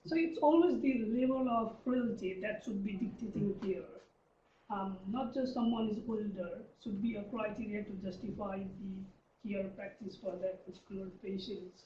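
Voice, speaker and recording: A man in his thirties from Nepal giving an academic research presentation, pausing often with um and uh. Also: high voice, dark tone, a little hiss or hum. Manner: hesitant, frequent um and uh fillers. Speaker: research lecturer. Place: lecture room. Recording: distant, dull, roomy lecture recording.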